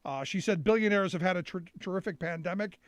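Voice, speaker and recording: A man hosts a live talk show with a fairly high voice. He stammers as he builds an indignant point. The sound is dry and close. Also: fast, clean tone, dry recording.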